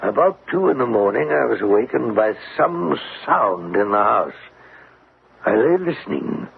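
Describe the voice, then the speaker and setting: A man's voice: medium pitch, sing-song, moderate pace, vocal fry. An actor playing a stern, anguished middle-aged father with formal, theatrical delivery in an old radio drama. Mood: fearful, grave. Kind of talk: vintage radio drama acting. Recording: tinny, hissy old radio recording.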